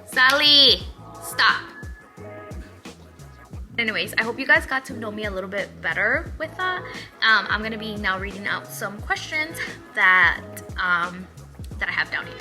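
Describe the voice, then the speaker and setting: A woman's voice: medium pitch, expressive, measured pace, long pauses, pushed and bright. Woman, twenties, from Mexico, chatty vlogger, upbeat, music underneath. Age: 20s. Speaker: hairstylist vlogger. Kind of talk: casual Q&A vlog.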